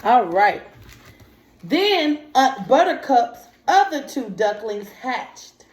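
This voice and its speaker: A woman's voice: on the high side, a little mellow. A woman teacher reading a picture story aloud to children and prompting them with simple comprehension questions in informal speech. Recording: echoey room.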